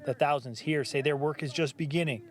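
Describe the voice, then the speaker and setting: A man's voice: a little high, fairly quick. A man with an American accent giving a live television news report outdoors on a city street. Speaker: TV field reporter.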